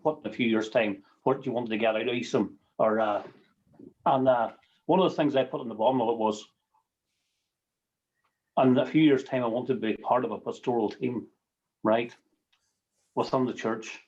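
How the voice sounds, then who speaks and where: Northern Irish man, mid-range voice, halting informal sharing, repeats himself, slightly dull video-call sound. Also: mid-range voice, steady tone, darkish tone. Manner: halting, repeating words, tagging phrases with everyday sayings. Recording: muffled video call audio.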